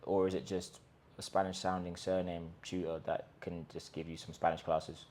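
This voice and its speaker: A man with a fairly low voice delivers an emphatic talk to camera. He stacks up rhetorical questions and restarts his sentences as he pushes his point. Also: flat delivery, normal speed, a little mellow, slight background noise. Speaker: host of a language-learning channel. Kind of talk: direct-to-camera pitch talk. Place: in Colombia.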